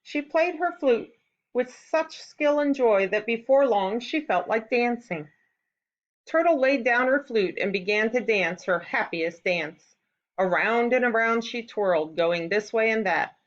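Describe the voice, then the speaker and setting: A woman reading a folktale aloud to children in an expressive, deliberate storytelling style, on a slightly dull recording. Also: fairly high pitch. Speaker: storybook reader.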